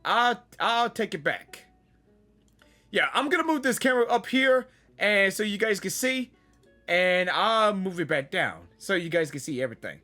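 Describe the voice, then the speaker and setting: A woman gamer giving casual, excitable reaction commentary, often saying "like" and "dude". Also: medium pitch, moderate pace, soft background music. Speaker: gamer reacting to a game on camera.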